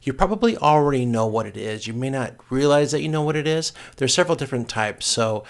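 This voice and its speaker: A man giving a recorded lecture as a course instructor, explaining step by step in a halting way and often correcting himself. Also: medium pitch, expressive, creaky.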